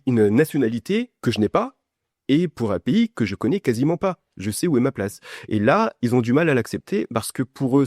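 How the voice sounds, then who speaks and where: A man's voice: mid-range voice, darkish tone, no echo, studio quality. A man from France answering as a podcast guest in a conversational, personal way, often restarting and repeating words. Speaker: podcast guest, essayist and journalist.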